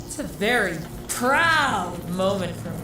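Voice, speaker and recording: A woman's voice: medium pitch, expressive, a little slow, natural voice. A woman playing a cheerfully sadistic torture droid with mock politeness in a sci-fi audio drama. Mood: mock admiring. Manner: gleeful, sing-song mock politeness. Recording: roomy, distant, clearly noisy ambience.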